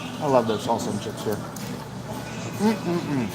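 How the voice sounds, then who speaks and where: A man's voice: medium pitch, sing-song, slow pace, heavy background noise. A man chatting casually to a livestream while he eats, talking between bites with savoring hums. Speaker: livestream host. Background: music.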